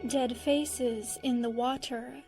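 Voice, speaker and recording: A woman reading fan fiction aloud as a podfic narrator, measured and deliberate with dramatic pauses. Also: on the high side, fairly even intonation, music in the background.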